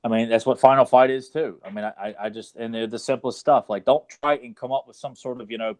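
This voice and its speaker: A man's voice: mid-range voice, fairly even intonation, quick pace. A man in casual conversation as a podcast co-host, with a crude, sarcastic way of talking. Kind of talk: casual chat.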